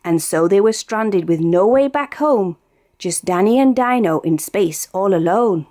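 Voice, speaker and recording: A woman with a British accent reads a rhyming children's picture book aloud in an expressive, sing-song storytelling way. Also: mid-range voice, a little slow. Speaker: storybook reader.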